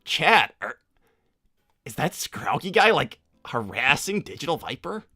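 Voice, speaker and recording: A man voicing a cocky, easily exasperated teenage cartoon hedgehog, chatting off the cuff on a livestream in a casual, halting way that repeats itself. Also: on the high side, big pitch swings, pushed and bright, slightly rough, no echo, studio quality, faint music behind, heavily acted. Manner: casual, halting slacker drawl full of repetition. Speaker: livestream host answering viewer questions in character. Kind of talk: improvised in-character livestream chat.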